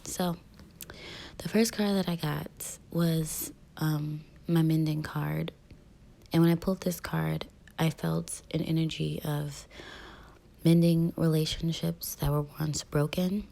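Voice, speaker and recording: Low raspy woman with a soft half-whisper. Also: little pitch movement, takes it slowly. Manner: soft, breathy half-whispered delivery with frequent ums. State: allergies. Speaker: tarot reader. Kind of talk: casual daily tarot reading.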